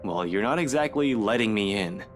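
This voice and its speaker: A man's voice: on the low side, expressive, moderate pace, soft background music, natural voice. A man playing a charming, protective investigator in a visual novel game, with a bantering, self-assured delivery. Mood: playful teasing. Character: charming teasing male investigator, determined and protective.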